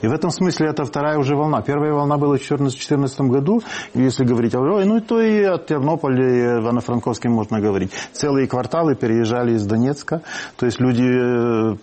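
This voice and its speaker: A man's voice: mid-range voice, fast, dark tone. A man with a Ukrainian accent, a political scientist guest explaining his views in a studio discussion, repeating words as he reasons.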